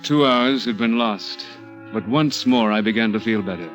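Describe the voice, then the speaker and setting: A man playing a controlling, cunning young American killer in a radio thriller. The voice sits a little low and moves deliberately, with insinuating hesitations. Music plays behind it. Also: a bit bright, highs cut off, close to an everyday voice. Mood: calculating, confident. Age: young adult. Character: cunning, controlling young man, a murderer posing as hospital interviewer. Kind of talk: radio thriller acting with first-person narration.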